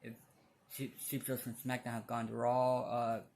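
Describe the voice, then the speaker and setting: A man giving casual opinion commentary as a fan vlogger, speaking bluntly in a halting, rambling way. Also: medium pitch, monotone, gravelly, a little mellow.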